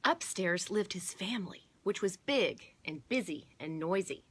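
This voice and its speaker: A woman hosting a children's storytime, reading aloud and pausing to explain and point things out to her listeners. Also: fairly low pitch, expressive, a bit bright, slight background noise. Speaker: storytime host at a museum garden. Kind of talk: read-aloud storytime for children with chatty asides.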